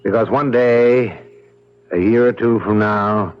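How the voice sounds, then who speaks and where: Vengeful ghost of a husband, radio drama. Measured, possessive, matter-of-fact menace on lo-fi tape. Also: male voice, fairly low pitch. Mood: cold, menacing. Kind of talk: 1970s radio drama acting. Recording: dull, lo-fi old radio recording.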